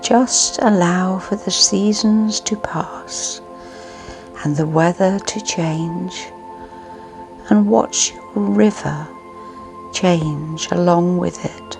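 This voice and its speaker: A woman narrating a scripted guided sleep meditation in a soothing, hushed manner with long pauses. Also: lowish voice, vocal fry. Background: music.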